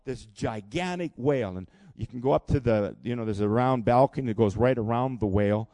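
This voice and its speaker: A man in his sixties preaching a sermon in church, animated and calling for responses from the congregation. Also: medium pitch, a little fast, dry recording. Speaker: pastor. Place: church hall.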